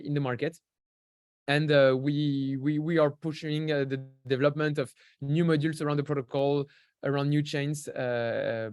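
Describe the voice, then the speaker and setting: A man's voice: fairly high pitch, a little mellow, no room sound, clean studio sound. A young man from France with a French accent, a podcast guest explaining things in an easygoing way, with many fillers and restarts. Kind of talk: casual interview talk.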